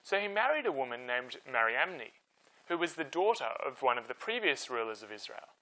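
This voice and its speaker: A man's voice: mid-range voice, very animated, a little fast, sharp tone, rough recording. A man hosting a storytime, telling history from a script in an emphatic, conversational way. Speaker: storyteller host.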